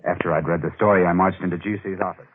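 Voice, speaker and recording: An actor playing a haunted, obsessive newspaper reporter in an old-time radio mystery, brooding, halting and intense in his delivery. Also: sits low, fairly even intonation, lightly acted. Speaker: narrator and lead character. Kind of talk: old-time radio mystery acting, dramatic first-person narration. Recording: muffled vintage radio recording with music.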